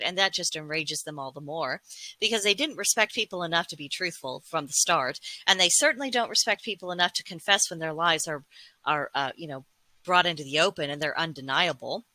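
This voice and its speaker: A woman hosting a folklore series, speaking in an earnest, deliberate way as she delivers a scripted monologue with formal wording. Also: lowish voice, expressive, sharp tone, dry recording, low-quality recording. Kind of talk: scripted monologue retelling and commenting on fables.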